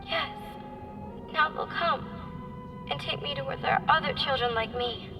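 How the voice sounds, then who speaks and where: Actress as a very high-pitched frightened little girl. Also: moderate pace, recorded from a distance, over music, natural voice. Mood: solemn. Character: frightened, telepathic little orphan girl, solemn and desperate. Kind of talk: dramatic radio monologue.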